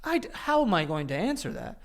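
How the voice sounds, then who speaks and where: A man's voice: high-pitched, fairly quick. A man in his thirties co-hosting a podcast, bantering crudely and laughing between jokes. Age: 30s. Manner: animated, laughing, jokey. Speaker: podcast co-host.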